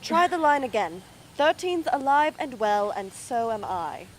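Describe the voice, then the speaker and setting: A woman's voice: high-pitched, very animated, a little slow, poor audio. A woman playing a persistent film crew director in an amateur radio drama, coaxing and encouraging. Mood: insistent. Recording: faint background ambience noise.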